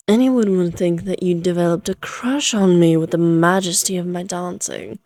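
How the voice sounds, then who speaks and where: A woman's voice: lowish voice, fairly even intonation, a little slow, darkish tone, natural voice. A woman playing a playful, flirtatious immortal vampire in a Victorian fantasy audio roleplay, teasing in genteel, archaic phrasing.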